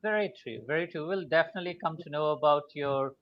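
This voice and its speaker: A man hosting a live online interview, welcoming his guest politely and filling pauses with "uh". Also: a little high, dull audio. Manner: courteous, many fillers. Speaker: host.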